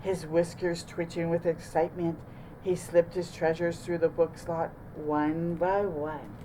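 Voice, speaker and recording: A woman reads a children's story aloud as a storytime host. Her voice is soft-toned and unhurried, expressive and deliberate, with clear noise in the background. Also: lowish voice, rough recording. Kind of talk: children's picture book narration.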